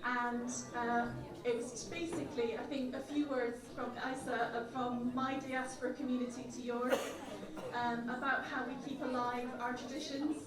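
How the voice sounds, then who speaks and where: A woman giving a public speech at a community event, telling stories earnestly with frequent ums and uhs. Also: fairly high pitch. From Ireland. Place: large echoey event hall. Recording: echoey hall from far off with crowd.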